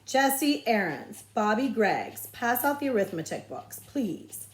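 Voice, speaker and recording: Woman acting storybook schoolteacher, middle-aged female, flustered, fussy, bossy politeness, raised pitch, echoey. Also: very animated. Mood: brisk. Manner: mumbling, halting, bossy politeness.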